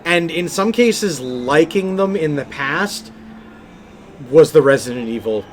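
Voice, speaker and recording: A man co-hosting a podcast, telling things casually in an emphatic, animated way. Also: on the high side, measured pace, a little hiss or hum. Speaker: podcast co-host. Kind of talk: casual film and game discussion.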